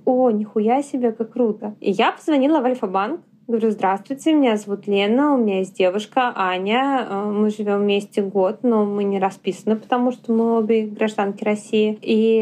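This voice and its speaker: A woman from Russia, a podcast guest, telling personal stories candidly in a lively way and acting out the dialogue. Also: fairly high pitch. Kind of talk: casual storytelling.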